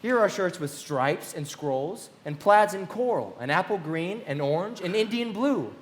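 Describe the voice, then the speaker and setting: A man acting in a live radio play as a wealthy, lovestruck young gentleman who is anxious and boastful, speaking in a genteel theatrical way. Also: high voice, expressive, a little slow. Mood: proud, genteel.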